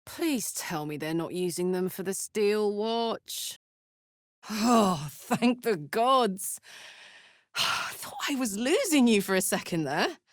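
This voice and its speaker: A woman's voice: medium pitch, dry recording, clean studio sound. An actress playing a brash, big-hearted tiefling warrior woman in a video game, with a blunt, informal manner that turns from playful to tender. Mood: tender, caring. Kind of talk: video game character voice lines.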